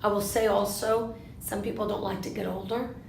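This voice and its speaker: Argentine-born woman in her fifties, gravelly. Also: mid-range voice, level tone, a little mellow, roomy sound, slight background noise. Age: 50s. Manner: measured, asks herself questions and answers them. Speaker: interviewee, lawyer. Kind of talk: reflective interview answers.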